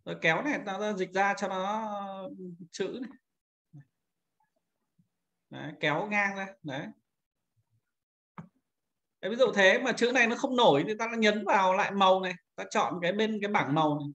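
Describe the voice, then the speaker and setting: A man instructing in a screen-recorded software tutorial, talking informally and pointing things out step by step as he demonstrates. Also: high-pitched, moderate pace, pauses often. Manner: informal, repeats pointing words while demonstrating.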